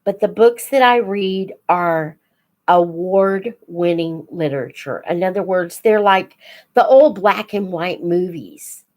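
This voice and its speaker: Slow-talking, folksy older woman. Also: on the low side, darkish tone. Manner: unhurried, reflective, folksy. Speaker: host of a children's book read-aloud channel. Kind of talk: casual live Q&A chat with young viewers.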